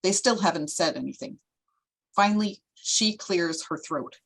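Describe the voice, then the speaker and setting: A woman's voice: fairly low pitch, expressive, a little slow, gravelly, fairly bright tone, husky, poor audio. A woman reading stage directions as the narrator of a screenplay.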